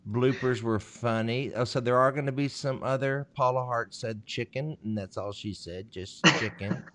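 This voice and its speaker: A man's voice: mid-range voice, normal speed, fairly bright tone, slight background noise. Folksy man reading comments. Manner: folksy, teasing, reads comments aloud. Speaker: co-host reading viewer comments. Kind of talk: casual live-stream chat.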